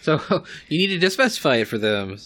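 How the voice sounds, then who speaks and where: Male co-host with a bright voice, sarcastic and crude, mocks people with silly voices in loose podcast banter. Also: fairly high pitch, very animated, normal speed. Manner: sarcastic and profane, mocks others in put-on voices. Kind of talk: casual group chat.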